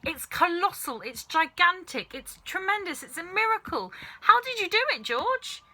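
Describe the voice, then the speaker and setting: A woman voicing a small, excitable father who yells in short, worked-up bursts in a storybook reading. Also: extremely high pitch, normal speed, voice pushed up, heavily acted, poor audio. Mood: thrilled. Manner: yelling, exclaiming breathlessly in short bursts.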